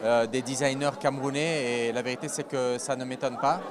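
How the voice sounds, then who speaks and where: A man's voice: medium pitch, fairly even intonation, a little fast. A man giving an interview at an event, hesitant and searching for words. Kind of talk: street interview. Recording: crowd noise behind.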